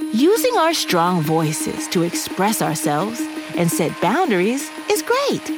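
Woman host, lowish voice with a lively lilt, leads kids' breathing and affirmations, music underneath. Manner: slow, soothing, encouraging guidance. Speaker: podcast host. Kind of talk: guided breathing and affirmations for kids.

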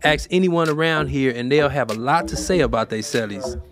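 A man's voice: mid-range voice, fairly quick. A man hosting a podcast with conversational, explanatory narration. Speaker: podcast host. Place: prison. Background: music.

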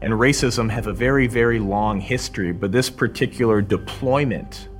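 Lowish man over instrumental music. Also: normal speed. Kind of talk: explanatory documentary commentary.

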